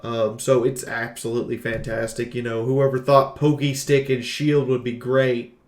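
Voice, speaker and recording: A man's voice: mid-range voice, level tone, moderate pace, roomy sound. A man giving a casual game review in an emphatic, exclamatory, jokey way, as a streamer talking through notes and ad-libs. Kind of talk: casual scripted game review with ad-libbed commentary.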